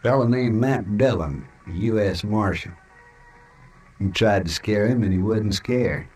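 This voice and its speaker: An actor playing a cocky, menacing American drifter and hired killer in an old-time radio western. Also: male voice, fairly low pitch, a little slow, a little mellow, breathy edge, faint music behind. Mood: cool, menacing.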